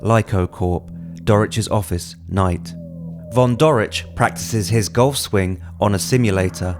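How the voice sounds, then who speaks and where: A man's voice: lowish voice, unhurried. A man reading stage directions as scripted narration for an audio drama. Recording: music under the voice.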